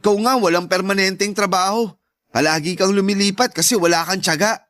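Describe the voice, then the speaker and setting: An actor playing a polite, proud young Filipino son in a radio drama, speaking earnestly. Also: male voice, high voice, brisk, a bit bright, no echo. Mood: defensive. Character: meek but proud, hardworking young son. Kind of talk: Tagalog radio drama acting.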